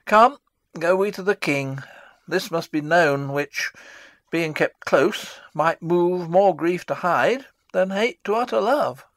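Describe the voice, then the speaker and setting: An actor playing a fussy, self-assured older royal counsellor in a classical drama reading, wordy and pompous. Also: male voice, high voice, expressive, slow, a bit bright, no room sound, full character voice. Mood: urgent, regretful.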